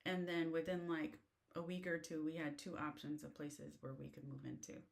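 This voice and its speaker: A woman's voice: sits low, flat delivery, normal speed, gravelly. An American woman talking casually and rambling with lots of fillers, as she shares advice on living abroad. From United States. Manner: chatty, rambling, many fillers. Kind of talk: casual talk to camera. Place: in a car.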